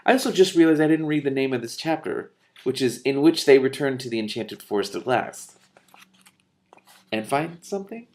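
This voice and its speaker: A man's voice: mid-range voice, expressive, moderate pace, a little mellow. A man reading a novel aloud expressively and breaking in with casual, spontaneous reactions. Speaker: reader commenting on a book.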